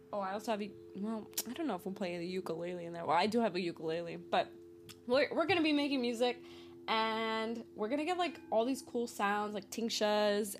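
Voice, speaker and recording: A woman's voice: medium pitch, a little hiss or hum. A woman in her early twenties hosting a casual solo podcast, rambling and upbeat, laughing often as she talks. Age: 20s. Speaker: podcast host. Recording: faint singing bowls behind. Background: soft singing bowls.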